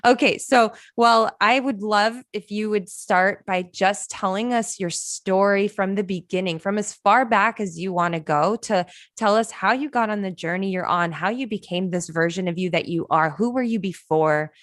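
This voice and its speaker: A woman's voice: mid-range voice, moderate pace, hardly any pauses, a bit bright, no echo, studio quality. Effusive woman hosting a podcast. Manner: effusive, admiring. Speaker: podcast host. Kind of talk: podcast interview.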